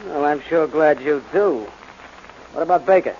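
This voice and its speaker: An actor playing a deferential, hesitant small-time hired killer, in 1940s radio style. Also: male voice, fairly high pitch, moderate pace, darkish tone, natural voice. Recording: dull, noisy 1940s radio recording.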